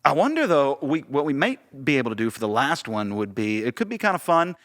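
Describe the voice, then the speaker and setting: A man with a strongly bright voice of middling pitch talks as a podcast co-host. His delivery is casual and enthusiastic, and he repeats phrases for emphasis. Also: big pitch swings, brisk. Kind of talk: casual conversational podcast chat.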